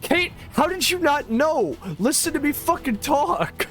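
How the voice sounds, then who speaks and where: A high-pitched man talks through a game, his voice swooping wide as he gets excited. He sounds congested and swears freely between laughs, with soft game music under him. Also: unhurried. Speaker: streamer playing a shooter. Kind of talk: casual gameplay chat.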